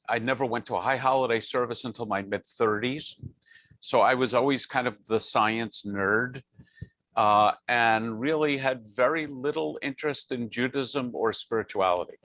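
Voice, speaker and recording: A man's voice: medium pitch, fairly bright tone, no room sound. A man in his sixties, a rabbi, telling his own story with earnest, wry thoughtfulness on a video call. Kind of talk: personal storytelling on a panel. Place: home study with bookshelves. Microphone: video call with lapel mic.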